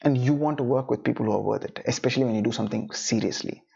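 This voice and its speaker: A man's voice: mid-range voice, rapid-fire, vocal fry, dark tone, husky. Young tamil guy giving a chatty freelance lesson, keeps saying "right?", sound a bit dull. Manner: conversational, many "right?" and "you know" tag questions. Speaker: freelance coach. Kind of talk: online lesson, talking to camera.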